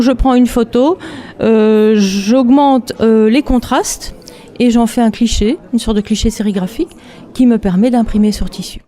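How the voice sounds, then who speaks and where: A woman from Belgium, a textile artist chatting relaxed in an interview, laughing often between hesitant fillers. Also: a little high. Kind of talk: casual interview.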